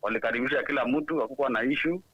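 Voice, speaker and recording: A man's voice: mid-range voice, rapid-fire, dry recording. A Kenyan man from Nairobi, calling in to a talk show and chatting casually in English and Swahili. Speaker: caller. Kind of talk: call-in comment. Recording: muffled phone-in line.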